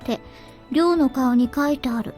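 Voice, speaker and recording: A woman's voice: high-pitched, fairly quick, a little mellow, soft background music, natural voice. A woman voicing a frail, teasing young girl in a Japanese visual novel.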